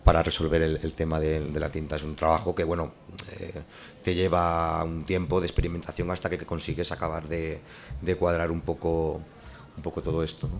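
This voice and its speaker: A man's voice: extremely low pitch, monotone, a little fast, creaky, slight background noise. A man who works as a comic artist, explaining his drawing process in a hesitant way, with many restarts and fillers. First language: not French.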